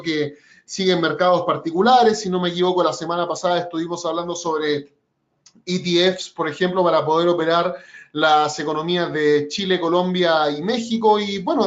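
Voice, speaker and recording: A man presenting an educational trading webinar, explaining things step by step in a measured way, with frequent pauses and "eh" fillers. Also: sits high, lo-fi sound.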